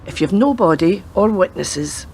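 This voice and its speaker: A woman in a radio play acting a world-weary, sceptical grandmother who used to be a policewoman, speaking in a dry, measured way. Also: on the low side, expressive, a little mellow, slight background noise.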